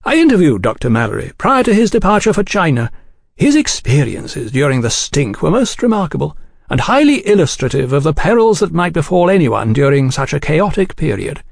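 An urbane gentleman journalist, acted out by a male reader, pitched well up and swooping with politeness. He is mild and smoothly cordial in an audiobook story, close and dry. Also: big pitch swings, brisk, no room sound, full character voice. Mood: effusive, polite.

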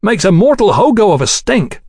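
Guy doing a boastful mechanic for an audiobook, folksy, drops letters, higher pitch. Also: big pitch swings, moderate pace, dry recording, clean studio sound. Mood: proud. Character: proud, folksy working-class male British mechanic.

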